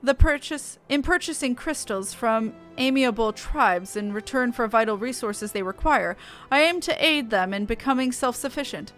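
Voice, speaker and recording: A woman plays a genteel merchant chairman of a fantasy game, flowery and idealistic. The voice is high for such a gentleman, courteous and grand, with music behind. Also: lively intonation, moderate pace. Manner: formal, grandiose. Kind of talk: reading game dialogue aloud.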